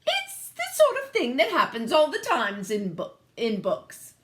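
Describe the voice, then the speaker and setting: A woman's voice: a little high, wildly swooping pitch, a little slow, a bit bright, heavily acted. A woman voicing a haughty, scornful queen in a children's storybook, with an imperious, declamatory manner. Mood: accusing.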